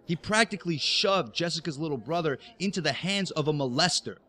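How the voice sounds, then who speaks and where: A young actor playing a resentful, distrustful teenage schoolboy in a naturalistic web drama. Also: male voice, fairly high pitch, lively intonation, brisk, bright, forceful tone. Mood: bitter.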